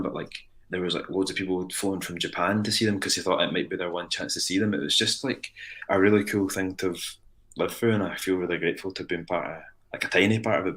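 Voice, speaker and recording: Low-voiced Scottish musician, rambling. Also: male voice, fairly bright tone. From Scotland. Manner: rambling, frequent restarts and "like" fillers. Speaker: band frontman guest. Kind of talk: casual interview chat.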